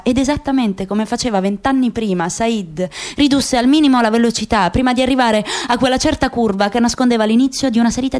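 A woman's voice: a little high, a little mellow. A woman giving a dramatic literary reading as a narrator in radio theatre. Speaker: narrator, actress.

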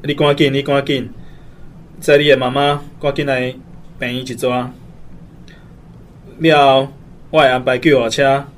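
A man's voice: medium pitch, little pitch movement, unhurried, pauses often, lightly acted. A man playing a stern older uncle in a radio drama, with a Taiwanese accent and an urgent, commanding manner. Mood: urgent, grave.

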